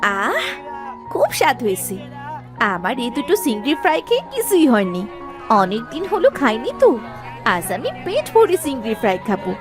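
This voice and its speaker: A woman's voice: on the high side, very animated, normal speed, music in the background. A woman voicing a demanding, greedy young village wife in a cartoon, whiny and insistent. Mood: bossy, greedy. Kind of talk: cartoon story voice acting.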